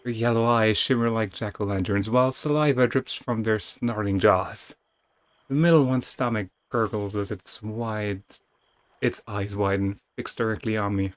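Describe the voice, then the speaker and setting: A man's voice: on the low side, moderate pace. A man reading a text game aloud as theatrical narration, stumbling and rereading now and then, with casual asides. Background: music.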